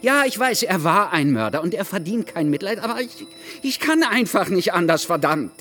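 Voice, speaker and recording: An actor playing a bitter, tormented Cuban writer who confides in a friend in a radio drama, emphatic and prone to swearing. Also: male voice, sits high, sing-song, moderate pace, fairly bright tone, soft background music. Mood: bitter, agitated.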